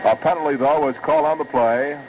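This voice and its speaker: A man calling a football game play by play on live radio, brisk and rising with the action, on a noisy old broadcast recording. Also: on the high side, steady tone. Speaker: play-by-play announcer.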